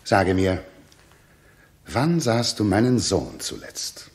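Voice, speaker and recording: A middle-aged king in a sixties radio play. His lowish voice moves with lively intonation. He is stately and commanding, yet troubled. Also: takes it slowly, full character voice. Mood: pleading, firm. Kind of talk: 1960s German radio drama acting.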